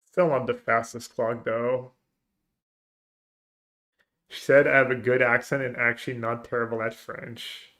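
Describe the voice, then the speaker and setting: Bright-voiced man streaming a card game. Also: medium pitch, level tone, unhurried, pauses often. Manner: thinking aloud, planning plays; chatty and casual with viewers. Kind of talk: live gameplay commentary, reading chat.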